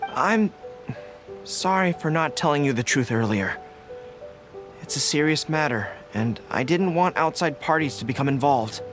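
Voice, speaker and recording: A man voicing an earnest, loyal young general in a game, polite and quick to apologize. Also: fairly high pitch, animated, a bit bright, soft background music. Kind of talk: game voice acting.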